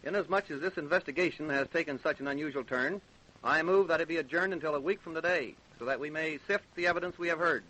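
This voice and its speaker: Measured, probing radio-drama coroner, higher-pitched. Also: male voice, pushed and bright, poor audio. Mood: formal, probing. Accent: American. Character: probing, even-tempered male small-town coroner investigating deaths. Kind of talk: old-time American radio drama acting.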